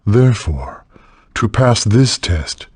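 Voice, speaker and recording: An actor playing a solemn, commanding keeper of spirit trials in a fantasy video game, with a husky voice and measured, instructive delivery. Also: male voice, fairly low pitch, expressive, a little mellow, no room sound, clean studio sound, exaggerated voice.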